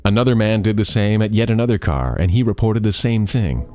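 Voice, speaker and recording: A man reading a scary story as a storyteller, with suspenseful, deliberate dramatic phrasing. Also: fairly low pitch, a little mellow, no echo.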